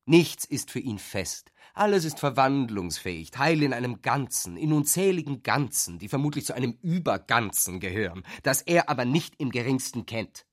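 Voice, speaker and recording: Radio drama: disapproving, jealous husband, a brooding intellectual, didactic and biting, middle-pitched voice. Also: male voice, very animated, normal speed, hardly any pauses. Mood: growing aversion. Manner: emphatic, didactic, scornful, spacing words out. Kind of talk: literary radio drama acting.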